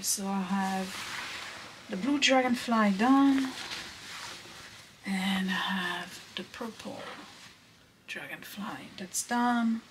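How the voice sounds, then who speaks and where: A woman vlogger chatting casually about her quilting at home, soft-spoken and sometimes dropping to a whisper. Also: medium pitch. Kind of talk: casual vlog narration. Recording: noisy home recording.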